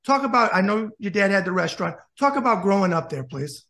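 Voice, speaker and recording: A male interview host with a high, gravelly voice. He asks his questions in a rambling way, stopping and restarting as he goes. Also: lively intonation, fast talker, pushed and bright.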